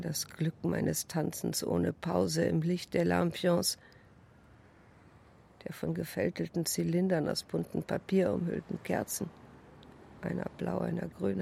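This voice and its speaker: An actress playing a melancholic woman of fifty in a dramatic radio monologue, clinging to youth yet resigned, with drawn-out, expressive phrasing. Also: on the low side, creaky. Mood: sinking, resigned.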